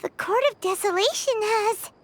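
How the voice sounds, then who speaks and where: A woman's voice: extremely high pitch, normal speed. A woman voicing a tiny, chirpy female companion in a video game, eager and alarmed.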